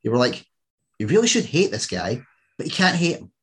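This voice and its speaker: A man's voice: medium pitch, sing-song, fairly quick, slightly rough. A man hosting a podcast in casual, enthusiastic chat, joking and swearing freely. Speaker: podcast host.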